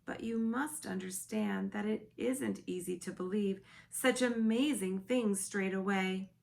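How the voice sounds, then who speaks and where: Woman doing a polite little girl, curious, slow and a bit gravelly. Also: medium pitch, a little mellow. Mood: pleading. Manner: polite, wondering.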